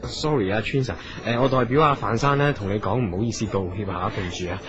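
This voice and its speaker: A man's voice: fairly low pitch, fast talker, natural voice. An actor playing a timid, anxious male performer in a comedic radio drama, hesitant and apologetic, with a Hong Kong Cantonese accent. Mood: meek, apologetic. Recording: slightly muffled radio sound with music.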